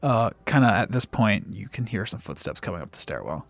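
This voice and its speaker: A man's voice: fairly low pitch, very animated, fairly quick, vocal fry, a bit bright, no room sound. A man acting as game master, improvising narration for a tabletop game in a conversational way.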